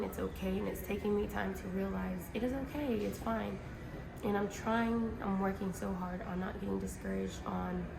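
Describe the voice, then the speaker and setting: An American woman talks earnestly in a personal vlog, pausing and restarting as she searches for her words. Her voice has a gravelly edge and sits at a middle pitch. She records on a phone in an echoey airport hall with steady noise behind her. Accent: American English. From United States. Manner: earnest, hesitant, with frequent ums and restarts. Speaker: vlogger. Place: airport terminal. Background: airport ambience.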